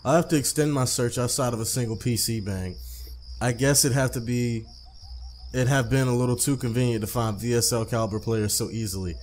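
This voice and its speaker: A man's voice: mid-range voice, fairly even intonation, brisk, darkish tone. A man hosting a let's play, reading a visual novel aloud conversationally, with casual asides and stumbled, repeated phrases. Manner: conversational, stumbles and rereads lines.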